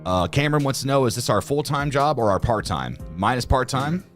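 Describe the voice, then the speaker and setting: A man's voice: medium pitch, sing-song, a little fast, fairly bright tone, soft background music. A man from Ohio co-hosting a casual livestream, reading viewer comments aloud in an easygoing way with lots of fillers. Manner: easygoing, reads chat aloud with frequent "let's see here" and fillers. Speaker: co-host of a reaction channel livestream.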